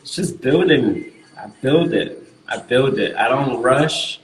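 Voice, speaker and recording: A man's voice: medium pitch, expressive, takes it slowly, echoey room, a little hiss or hum, low-quality recording. A man from Queens, a guest artist talking casually and emphatically, repeating himself and using street slang. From Queens, New York. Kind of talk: casual interview chat.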